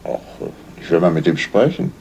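An actor playing a courteous, self-assured gentleman sleuth in a 1970s radio crime drama, polite and soothing. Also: sits low, wildly swooping pitch, normal speed, vocal fry, full character voice. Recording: vintage radio recording, faint hiss.

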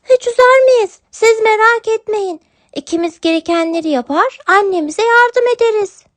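A woman voicing an eager, helpful young kitten in a children's storybook, with an excitable childlike delivery. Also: very high voice, normal speed, no echo, pitched above the performer's own voice. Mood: caring.

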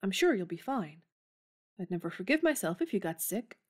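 A woman's voice: medium pitch, moderate pace. A woman playing a brash tomboyish young woman. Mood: earnest. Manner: brash, energetic. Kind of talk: amateur audio drama acting.

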